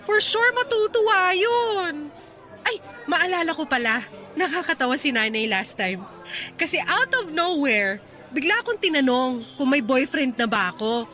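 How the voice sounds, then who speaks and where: Actress doing playful hardworking filipina girl, radio drama, voice swoops around a lot, bright. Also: high voice, expressive, measured pace, soft background music, exaggerated voice. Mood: chatty, cheerful. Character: Maylin, hardworking, playful, stubbornly single young woman.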